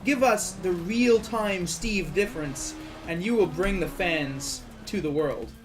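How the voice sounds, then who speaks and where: A man's voice: high voice, measured pace. A man from Quebec co-commentating on a video game in casual, teasing, sarcastic chat. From Quebec, Canada. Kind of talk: casual joking chat over gameplay.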